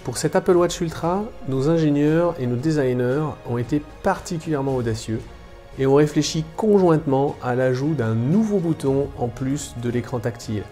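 A man in his thirties parodies a product launch. He sounds smooth and corporate, then drops to snide muttered asides. His voice is dark and mellow, with soft music underneath. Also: mid-range voice, moderate pace. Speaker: channel host. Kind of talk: satirical mock product keynote. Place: living room, on a sofa.

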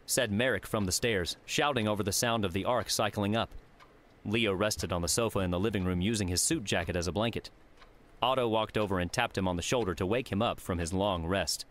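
A man's voice: lowish voice, brisk, fairly bright tone. A man reading a work of fiction aloud as scripted audiobook narration.

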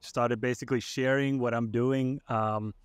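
A man's voice: medium pitch, steady tone, unhurried, smooth, no echo, clean studio sound. A young man from Iceland, a podcast guest talking over a video call with a headset in his home streaming room, hesitant with many fillers and restarts. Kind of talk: casual interview chat.

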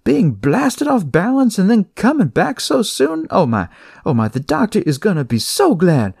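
A man acts out a big motherly nurse in an audio story. The voice is pitched high and swoops about, exclaiming with delight and showering endearments. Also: huge pitch swings, normal speed, creaky, a little mellow, husky, dry recording, studio quality, heavily acted. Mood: delighted, gushing. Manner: effusive, exclaiming, full of endearments.

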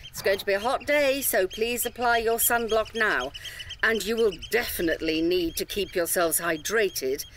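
A woman's voice: medium pitch, normal speed, a bit bright, a little hiss or hum, lightly acted. A woman playing a polite, patient tour guide giving instructions to day trippers in an audio drama. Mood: instructive, cautioning. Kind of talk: audio drama acting, public briefing.

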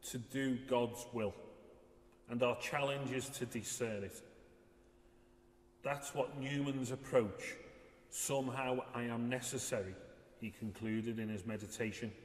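A man delivers a scripted sermon in a measured, solemn manner. His pitch is average. The voice rings with strong echo and long reverb in a large stone church. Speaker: assisting minister.

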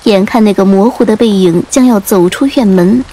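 A woman reading a dramatic audiobook story in a measured, literary storytelling style. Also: mid-range voice, warm tone, slightly rough, low-quality recording. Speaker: audiobook narrator. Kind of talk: dramatic scripted storytelling narration. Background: faint music.

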